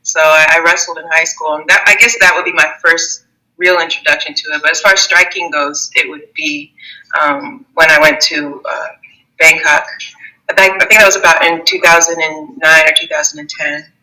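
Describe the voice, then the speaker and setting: A woman's voice: low voice, normal speed, pushed and bright, poor audio. A woman in her thirties who grew up in Germany and the United States, a Muay Thai fighter chatting as an interview guest, laughing often and restarting her sentences. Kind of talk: casual interview conversation.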